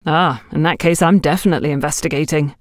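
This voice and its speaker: A woman's voice: low-pitched, fast, no echo, studio quality, close to an everyday voice. A woman voicing a cocky, mischievous young male security guard in an audiobook, boastful and theatrical. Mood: gleefully vengeful.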